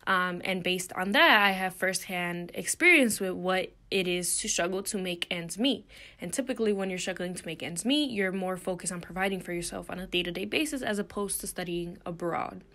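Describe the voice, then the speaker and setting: A woman's voice: on the low side, normal speed, sharp tone. A young woman from Puerto Rico giving a recorded slide presentation as a student presenter, earnest and explanatory, with frequent ums and uhs. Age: young adult.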